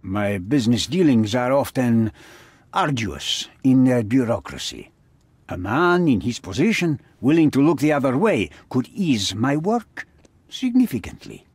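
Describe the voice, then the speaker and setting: A middle-aged male crime boss in a game drama, haughty and menacing. His voice is husky and moves widely in pitch, formal and imperious. It sounds close and dry. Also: big pitch swings, unhurried, a little mellow, dry recording, heavily acted. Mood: calculating, smooth. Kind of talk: dramatic video game acting.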